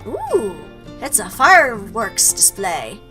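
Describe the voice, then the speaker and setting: A woman's voice: fairly high pitch, huge pitch swings, takes it slowly, bright, forceful tone, soft background music. A woman hosting a let's play, chatting playfully and reacting aloud as she reads through a game. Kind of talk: gaming commentary with read-aloud game text.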